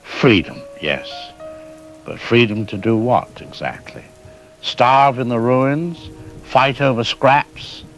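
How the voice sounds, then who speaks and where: A man playing a sardonic, urbane host of dark tales, narrating an audio drama in a suave, ominous, musing way. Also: mid-range voice, lively intonation, takes it slowly, faint music behind, poor audio. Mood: grim, questioning.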